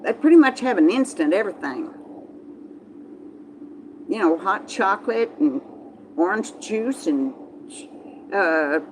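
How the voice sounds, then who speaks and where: A woman's voice: medium pitch, a little hiss or hum, poor audio. A woman with a Southern Texas accent, chatting casually to live viewers in a folksy, meandering way and often repeating herself. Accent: Texas Southern American. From Texas, southern United States. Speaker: livestream host.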